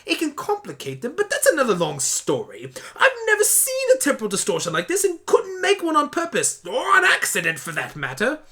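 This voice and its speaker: Guy doing a fussy genius pony, swoopy excitable delivery, wordy, sharp bright voice. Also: very high-pitched, a little fast, hardly any pauses, voice pushed up, full character voice. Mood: indignant. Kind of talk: amateur audio drama acting.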